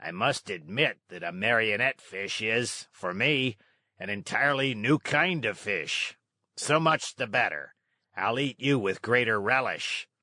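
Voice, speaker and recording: A man acts out an ugly green monster of a fisherman from a children's storybook. He is greedy and pompously polite, lingering slowly over his words in a dry, close recording. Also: fairly low pitch, lively intonation, sharp tone, no room sound, full character voice. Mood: puzzled, greedy. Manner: slow, savoring, pompous. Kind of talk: children's storybook read-aloud.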